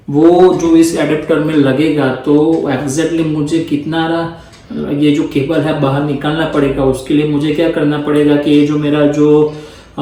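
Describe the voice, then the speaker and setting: Man with flat, echoey tutorial delivery. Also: fairly high pitch. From India. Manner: explains step by step while working. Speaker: moto vlogger giving a setup tutorial. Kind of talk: casual hands-on tutorial in Hindi mixed with English phrases.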